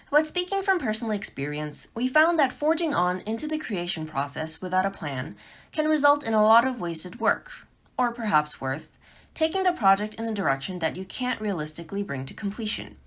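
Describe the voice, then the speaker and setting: A woman's voice: mid-range voice, sing-song, brisk, fairly bright tone. A woman giving a scripted tutorial talk for beginners, phrasing her points carefully and with emphasis. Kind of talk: scripted instructional narration.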